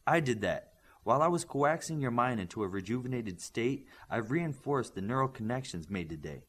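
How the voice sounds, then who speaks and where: A man's voice: mid-range voice, animated, normal speed, fairly bright tone. A man voicing a confident young superpowered girl in an audiobook. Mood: breezy.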